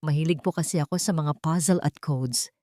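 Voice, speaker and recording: A woman's voice: low voice, fairly quick, dark tone, dry recording, clean studio sound, lightly acted. A woman with a Filipino accent voicing a polite, hesitant, clever young male mechanic in a narrated story. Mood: shy. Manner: deferential, hesitant.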